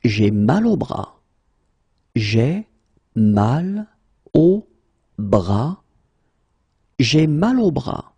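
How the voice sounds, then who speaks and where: A man teaching French in a pronunciation drill, slowly repeating each phrase and then saying it word by word. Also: medium pitch, very animated, dark tone, breathy edge, no room sound.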